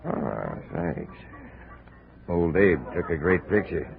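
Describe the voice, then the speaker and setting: An actor playing a streetwise, wisecracking American bartender in a 1950s radio detective drama, slangy and evasive. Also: male voice, low-pitched, sing-song, takes it slowly, gravelly, a crowd in the background, heavily acted. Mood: cagey. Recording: muffled, narrow-band old radio recording.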